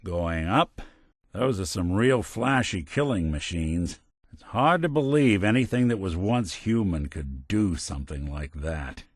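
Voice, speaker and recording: A man's voice: low voice, expressive, a little slow, no room sound, studio quality, heavily acted. An actor playing a world-weary synth detective in a video game, speaking in a wry, sardonic, old-time tough-guy manner. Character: world-weary wisecracking male synth robot detective, middle-aged. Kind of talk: scripted game companion dialogue, noir detective.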